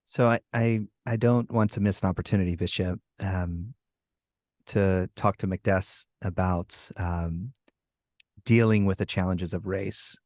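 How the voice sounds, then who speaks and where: A man hosting a podcast, asking questions in a halting, hesitant way with many restarts. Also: sits low, steady tone, darkish tone, no echo, studio quality. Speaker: podcast host. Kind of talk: podcast interview.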